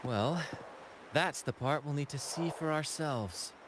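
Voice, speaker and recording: A man's voice: on the high side, unhurried, background noise, close to an everyday voice. A man voicing a video game's flamboyant young troupe leader, playful and gallant, with theatrical, flowery phrasing. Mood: reflective storytelling. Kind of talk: video game voice acting. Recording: game music behind the voice.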